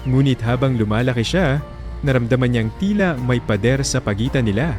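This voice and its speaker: A man's voice: mid-range voice, normal speed, darkish tone. A man with a Filipino accent delivering dramatic scripted narration as the narrator of a radio drama. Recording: music under the narration.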